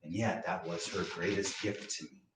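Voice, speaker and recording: A man's voice: sits low, fairly even intonation, normal speed, fairly bright tone, a little hiss or hum. A man playing a bitter, drunken man tormented by grief and guilt in a staged reading, with a mocking, theatrical, needling manner. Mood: grieving, subdued. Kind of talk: dramatic monologue in a staged play reading. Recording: roomy, echoey, distant mic.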